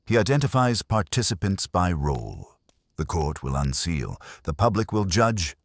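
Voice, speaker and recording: Smooth, pompous, low-voiced legal villain played by a man. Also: very animated, moderate pace, no echo, studio quality, full character voice. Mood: smoothly righteous. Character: smooth, self-important male legal advocate villain.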